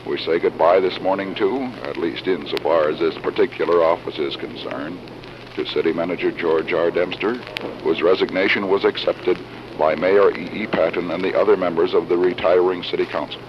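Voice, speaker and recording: Deep-voiced 1940s radio newsman, noisy old recording. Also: fairly even intonation, normal speed, muffled recording. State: has a cold. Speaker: radio news reporter. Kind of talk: 1940s live radio news commentary. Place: council chamber. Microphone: broadcast microphone.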